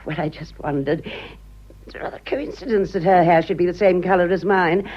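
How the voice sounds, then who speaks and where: A woman's voice: fairly low pitch, a little fast, close to an everyday voice. An actress playing a vain, gushing, aging society mother with an upper-class English accent in a radio comedy. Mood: confiding. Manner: effusive, drawling, girlishly theatrical. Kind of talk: stage comedy radio acting. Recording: dull, muffled old radio drama.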